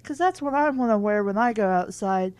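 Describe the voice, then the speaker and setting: Woman, mellow relaxed voice, mid pitch, casual amateur book reader, informal, wry, stumbles over words. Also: fairly quick, dry recording. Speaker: host of a book reading series. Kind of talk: casual commentary and amateur reading aloud of a children's book.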